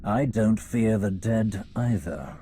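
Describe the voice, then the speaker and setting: A scheming Sith scholar from a video game, mid-pitched and theatrical. His delivery is slow and richly varied, silkily mock-respectful, with faint ambience behind it. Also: animated, mellow, slight background noise. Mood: coolly taunting. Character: sly, scheming male Sith scholar hiding quiet ambition. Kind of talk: cinematic video game acting.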